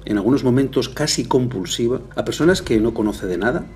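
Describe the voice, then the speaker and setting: A man's voice: medium pitch, lively intonation, brisk, darkish tone, a little hiss or hum. A man giving emphatic expert commentary as a forensic psychiatry doctor, piling up words for weight. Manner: emphatic, piles up adjectives and repetitions.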